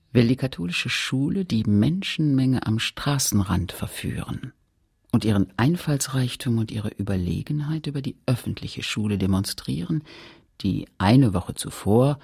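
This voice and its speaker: A man with a husky, gravelly voice narrating a literary radio play in a measured, read-aloud manner. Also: on the low side, darkish tone, heavily acted.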